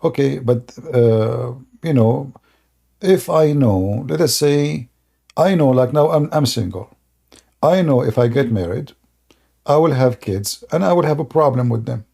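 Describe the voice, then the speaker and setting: A man, hosting a live-streamed religious debate and explaining slowly with many fillers and restarts. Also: mid-range voice, creaky, warm tone. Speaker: debate host.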